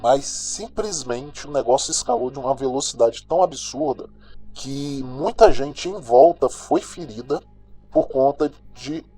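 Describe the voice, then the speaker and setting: A man's voice: mid-range voice, mellow, lightly acted. A Brazilian man playing a cynical, gossipy small-town crime news host in an audio drama, talking in a slangy, sardonic, colloquial way. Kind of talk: audio drama monologue as chatty radio host. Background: music.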